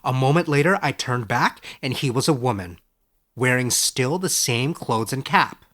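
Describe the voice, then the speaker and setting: A man voicing an earnest, excitable young former student in a storybook, eager and quick to defend himself. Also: mid-range voice, lightly acted. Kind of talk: storybook character voice in audiobook narration.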